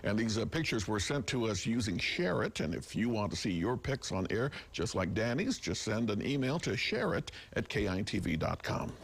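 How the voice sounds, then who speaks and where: A man's voice: lowish voice, big pitch swings, moderate pace, creaky, hardly any pauses, breathy edge. An American man anchoring a television newscast, reading stories and chatting lightly with colleagues. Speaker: TV news anchor. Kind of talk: broadcast news reading with light co-anchor banter.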